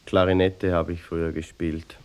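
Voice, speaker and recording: An actor playing an earnest, obsessive amateur clarinetist in a mock-documentary radio play, rambling and interrupting himself in a Vorarlberg Austrian accent. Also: male voice, low-pitched, flat delivery, a little mellow. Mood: modest, hesitant. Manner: rambling, halting, self-interrupting, fussy. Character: earnest, obsessive amateur clarinetist hunting a vanished brass band.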